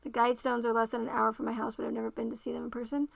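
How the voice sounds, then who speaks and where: A woman hosting a casual livestream, talking straight to her viewers by name and repeating herself, her voice going raspy. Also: a little high, level tone, racing, no room sound. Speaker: livestream host.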